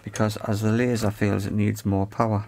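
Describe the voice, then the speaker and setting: A man's voice: lowish voice, flat delivery, moderate pace, darkish tone. A man giving hands-on repair commentary, thinking aloud in a tentative way as he works. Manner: tentative, talks to himself while working. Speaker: repair video presenter.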